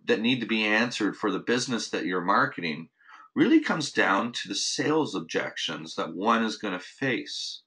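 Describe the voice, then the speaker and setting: Deep-voiced man talking shop casually. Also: bright, forceful tone. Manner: casual, many restarts and "you know" fillers. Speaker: host of a weekly marketing update. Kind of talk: unscripted webinar talk.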